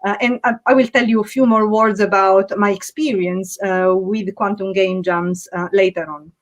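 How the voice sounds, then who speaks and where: A woman from Sicily with an Italian accent introduces herself to an online audience as a physics professor. Her voice is mid-pitched, and her "uh"s are light. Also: steady tone, normal speed. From Sicily, Italy. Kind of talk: self-introduction.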